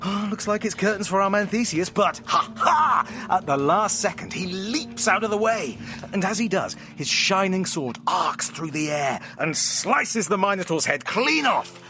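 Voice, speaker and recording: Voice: medium pitch, animated, a little slow, music in the background. An actor in an audio drama playing a theatrical, playful storyteller friend with hammy flourishes and sheepish, affectionate asides. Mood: gleefully theatrical.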